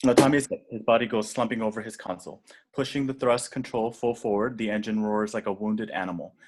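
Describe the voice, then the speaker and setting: A man with a low, deep voice narrates action from a screenplay. He reads deliberately and dramatically, as the stage directions reader of a table read. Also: fairly even intonation.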